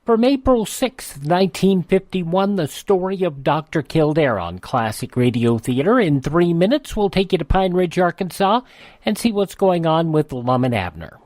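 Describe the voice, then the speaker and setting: A man's voice: on the high side, sing-song, normal speed, a little mellow, no room sound, clean studio sound. A man hosting a classic radio program with a scripted introduction. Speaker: radio show host.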